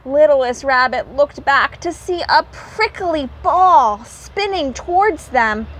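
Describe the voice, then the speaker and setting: A woman reading a children's story aloud in animated storybook narration. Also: sits high, slow.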